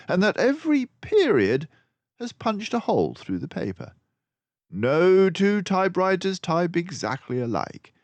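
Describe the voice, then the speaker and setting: A man's voice: high-pitched, no echo, pitched above the performer's own voice, full character voice. A man voicing a clever, confident mouse detective from a storybook, with an emphatic, precise, lecturing manner. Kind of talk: storybook character voice.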